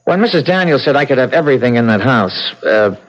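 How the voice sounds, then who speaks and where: An actor playing a greedy, eager young widower in a 1970s radio drama, hesitant and muttering to himself in excitement. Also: male voice, mid-range voice, fast, natural voice. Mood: stammering, eager. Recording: dull old radio broadcast with music.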